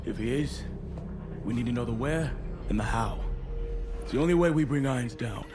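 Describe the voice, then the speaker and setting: A man's voice: mid-range voice, music in the background, natural voice. A man playing a grave covert-ops commander. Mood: tense. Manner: grave, measured, clipped briefing. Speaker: military commander. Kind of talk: video game cutscene acting.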